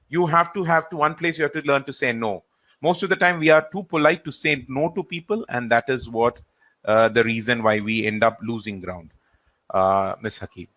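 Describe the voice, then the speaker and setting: Man answering questions, halting. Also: fairly high pitch. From India. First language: Hindi or Gujarati. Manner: relaxed, halting, with frequent fillers. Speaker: trainer. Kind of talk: answering audience questions in a live session.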